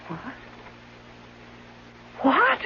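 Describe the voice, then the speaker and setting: An actress playing a bedridden, affectionate but anxious wife in an old-time radio drama, emotive, languid and pleading. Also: female voice, sits high, wildly swooping pitch, extremely slow, exaggerated voice. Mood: alarmed, insistent. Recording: muffled, noisy old radio broadcast.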